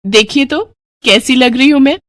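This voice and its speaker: A woman's voice: sits high, moderate pace, fairly bright tone, no echo, pitched above the performer's own voice. A grateful young wife in a storybook drama, as a woman plays her, eager and devoted. The voice is close and dry. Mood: playful.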